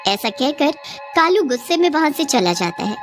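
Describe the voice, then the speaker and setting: A woman narrates a scripted story in a fairly high voice. She works as the storyteller, with light music playing behind her. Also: sing-song.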